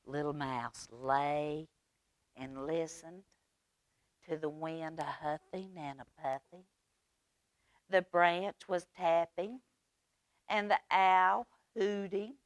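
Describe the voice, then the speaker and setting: A woman reading aloud at a children's storytime, expressive and using simple phrasing for small children. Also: sits low, slow, long pauses, no room sound.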